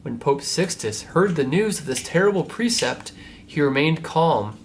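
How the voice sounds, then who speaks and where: A man, a priest, reading a saint's storybook aloud to children in a measured way with casual asides. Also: fairly high pitch, expressive, a little hiss or hum.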